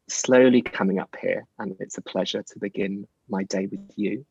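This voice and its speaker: A man speaking gently from his home over a video call, relaxed and softly spoken. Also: on the low side, creaky, slightly rough, dry recording. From Scotland. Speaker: artist and guest. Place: small room at home. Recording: dull lo-fi video call audio.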